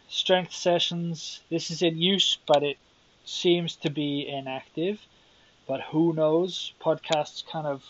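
Rambling Welsh man on a lo-fi podcast. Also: fairly high pitch. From Wales. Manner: rambling, self-deprecating, thinking aloud. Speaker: podcast host. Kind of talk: solo podcast monologue.

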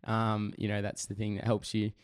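Man, podcast host, low voice, recovering from laryngitis, chatty, filler-heavy, dry studio sound. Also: monotone, moderate pace, dry recording. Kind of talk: casual podcast intro and interview.

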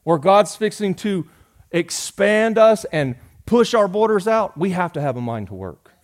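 A man, preaching a sermon in a folksy, joking way, rising loud and dropping soft. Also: sits high, big pitch swings, measured pace. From Georgia. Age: 40s. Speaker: pastor.

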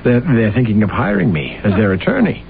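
An actor playing a boastful, self-deluded husband who talks with brash bluster, in a radio drama. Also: male voice, on the low side, very animated, fairly quick, vocal fry. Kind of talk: 1970s radio drama acting. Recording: tinny old radio broadcast with faint hiss.

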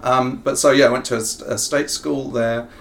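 A man's voice: medium pitch, fairly even intonation, normal speed, creaky. A middle-aged man with a southern English accent, answering interview questions in a rambling way with many ums and self-corrections. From England. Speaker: professor and executive guest. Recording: faint ambient noise.

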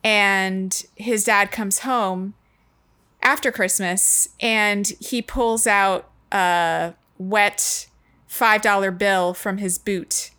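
Bright, slow-talking woman. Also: mid-range voice, fairly even intonation, clean tone. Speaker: book discussion co-host. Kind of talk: casual literary discussion.

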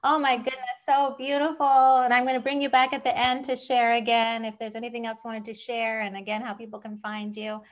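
Gushy lady from northwest spain hosting an open mic, higher pitched, lots of exclaiming. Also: level tone, brisk, hardly any pauses, rough recording. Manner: gushing, exclamatory. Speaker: host of an online open mic. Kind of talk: casual, effusive hosting chat.

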